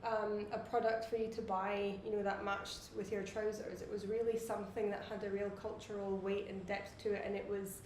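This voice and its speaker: Scottish woman, flat steady explaining voice. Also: medium pitch, normal speed, few pauses, reverberant, slight background noise, rough recording. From Scotland. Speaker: watch designer, guest. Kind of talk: casual interview answers.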